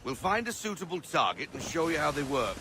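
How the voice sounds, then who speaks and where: An actor playing a hearty, seasoned sea captain and mentor in a video game, talking like a salty, commanding sailor. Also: male voice, on the high side, sing-song, brisk, background noise. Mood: matter-of-fact. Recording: loud orchestral game music behind.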